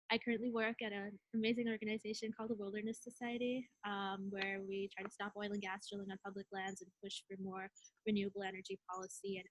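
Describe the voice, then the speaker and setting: A woman's voice: medium pitch, no echo, low-quality recording. A woman in her twenties from India, introducing herself as a delegation co-leader in an earnest, conversational webinar talk with frequent fillers.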